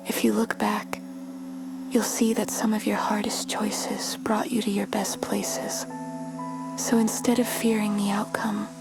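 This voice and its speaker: Whispered woman's voice, meditation guide, flat and gravelly, music behind. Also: mid-range voice. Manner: slow, soothing, flat delivery.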